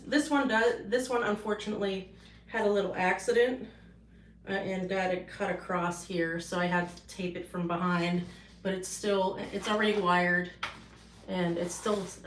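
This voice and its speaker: A woman's voice: fairly low pitch, steady tone, normal speed. Woman, chatty artist, rambling livestream talk, roomy echo, faint hum. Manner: rambling and unscripted, with many fillers and restarts. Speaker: artist showing her paintings on a livestream. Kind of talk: casual livestream chat. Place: home art studio.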